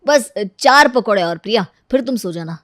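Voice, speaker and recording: A man's voice: high voice, animated, normal speed, fairly bright tone, no echo, studio quality. A man voicing a playful young husband in a storybook, coaxing and teasing as he begs for food. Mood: wistful, cajoling. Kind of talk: acted Hindi audio storybook dialogue.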